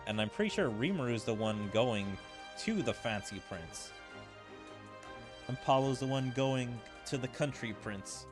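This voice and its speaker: A man streams a game with music playing under his voice. He talks slowly at a middling pitch, in a casual, rambling way. He speculates aloud and leans on filler words as he goes. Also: lively intonation. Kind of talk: casual gameplay commentary with dialogue read aloud. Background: video game music.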